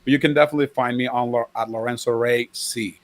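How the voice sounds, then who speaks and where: A man's voice: medium pitch, steady tone, fast, no echo. Venezuelan guy, spanish native, chatting loose as a guest, lots of uhs, dry sound. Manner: hesitant, many fillers and restarts. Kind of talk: casual chat.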